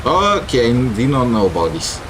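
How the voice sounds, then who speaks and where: A man giving casual, halting live gameplay commentary, reacting in short bursts as a streamer. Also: mid-range voice, very animated, background noise. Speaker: game livestreamer. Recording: game music behind the voice. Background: video game music.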